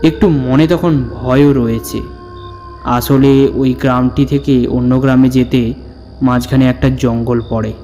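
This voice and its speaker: A man playing an older storyteller who recounts his own ghostly encounter in a suspenseful, measured narration. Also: mid-range voice, fairly even intonation, warm tone, close to an everyday voice. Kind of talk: dramatic scripted horror story narration. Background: background music.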